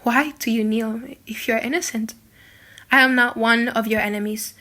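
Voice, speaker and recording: A tender young gentlewoman as a woman narrator acts her with a high, bright voice. She is devoted and passionate, imploring and full of grief, in dramatic storybook style. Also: fairly even intonation, moderate pace. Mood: sorrowful, tender. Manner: earnest, emotive, imploring. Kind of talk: dramatic audiobook character acting.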